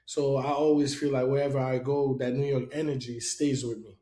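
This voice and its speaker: A man's voice: mid-range voice, level tone, brisk, warm tone. A man from Haiti hosting a casual podcast chat, loose and rambling with lots of fillers. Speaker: podcast host. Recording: roomy echo.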